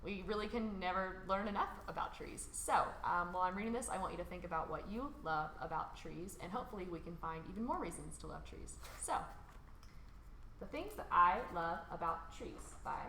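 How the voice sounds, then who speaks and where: Female, American, children's read-along host. Lively pitch movement, informal delivery, recorded in a roomy echo. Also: brisk. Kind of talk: picture book read aloud for children. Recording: roomy echo, faint background noise.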